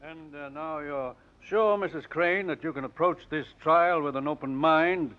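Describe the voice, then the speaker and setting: Man as highish, courteous radio defense attorney. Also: close to an everyday voice. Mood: polite, probing. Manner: measured, courteous questioning. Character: polite, probing male defense attorney. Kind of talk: 1940s radio courtroom drama acting. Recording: muffled old radio broadcast recording.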